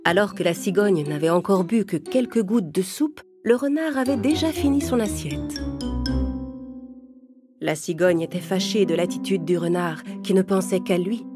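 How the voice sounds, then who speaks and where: A woman's voice: medium pitch, normal speed, over music. A woman narrating a children's story in a scripted, carefully phrased storytelling style.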